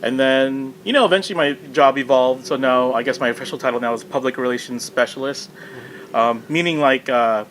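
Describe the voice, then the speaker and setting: A man's voice: mid-range voice, fairly quick, a bit bright, rough recording. A man chatting casually as a podcast guest, telling self-deprecating, joking anecdotes. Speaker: podcast guest, video game company public relations specialist.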